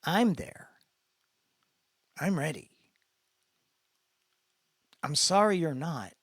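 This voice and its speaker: A man's voice: fairly high pitch, expressive, drawn-out words, a bit bright, no room sound, studio quality, heavily acted. A man voicing an eager, idealistic younger brother in a dramatic audiobook, explaining things in an earnest, casual way. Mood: resolute, earnest. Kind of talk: dramatic audiobook character dialogue.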